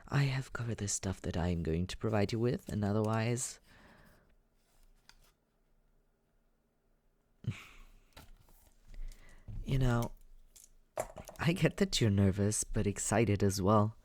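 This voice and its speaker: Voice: medium pitch, darkish tone, dry recording, clean studio sound, natural voice. An actor voicing a teasing but caring young companion in an intimate, conversational ASMR comfort roleplay, hesitant and reassuring.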